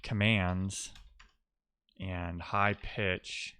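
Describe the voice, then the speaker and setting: A man who streams live coding, chatting casually and musing out loud in loose fragments as he works. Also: low voice, flat delivery, extremely slow, smooth. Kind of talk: casual thinking-aloud coding stream chat.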